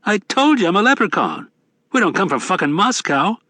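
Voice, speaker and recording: A man's voice: sits high, sing-song, a little fast, bright, forceful tone, dry recording, studio quality, voice pushed up, full character voice. A man voicing a brash, foul-mouthed, tipsy bearded leprechaun with a scoffing manner in an audiobook. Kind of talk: audiobook character acting.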